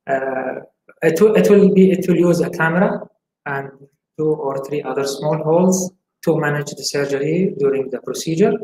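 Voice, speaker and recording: A man in his forties from Syria answers interview questions as a gynecologist. His voice sits a bit high for a man, and he talks haltingly with many pauses. The sound is roomy with a faint hiss. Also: darkish tone. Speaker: gynecologist guest. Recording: echoey room, faint hiss.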